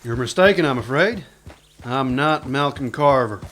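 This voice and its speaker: An actor playing a guarded, weary former outlaw turned sheriff, answering tersely and dryly in a radio drama. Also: male voice, mid-range voice, animated, normal speed, fairly bright tone, a little hiss or hum, lightly acted.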